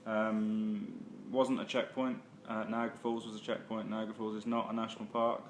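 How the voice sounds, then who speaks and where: Low-ish guy rambling about his trip into a phone, lots of ums, faint hiss behind. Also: little pitch movement, moderate pace. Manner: hesitant, many ums and uhs, self-correcting. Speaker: travel vlogger. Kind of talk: casual rambling travel recap. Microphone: phone or handheld camera.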